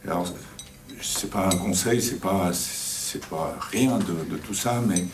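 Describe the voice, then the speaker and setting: A middle-aged man sharing in a group circle, halting and repeating words but self-assured. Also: deep voice. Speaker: retreat participant. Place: indoor room around a table. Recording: roomy, distant mic, faint noise.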